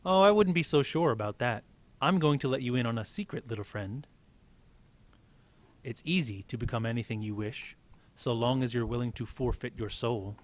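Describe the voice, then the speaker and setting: A man's voice: medium pitch, normal speed, close to an everyday voice. Man reading as a sly old woman. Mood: sly, coaxing.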